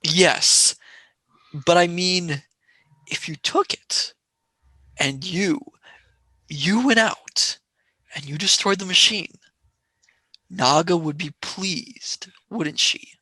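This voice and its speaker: A sardonic young male space traveller, acted by a voice actor in a radio play. The pitch swings widely and the tone is bright. The sound is dry and close to the mic. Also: lively intonation, takes it slowly, long pauses, slightly rough, no echo, exaggerated voice, poor audio. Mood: wry. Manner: sarcastic, wheedling.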